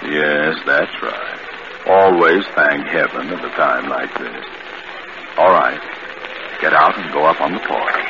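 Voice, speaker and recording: An actor playing a sinister, mocking unseen narrator who taunts slowly and knowingly in old radio suspense style. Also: male voice, a bass voice, full character voice. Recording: old muffled radio recording, noisy, loud music.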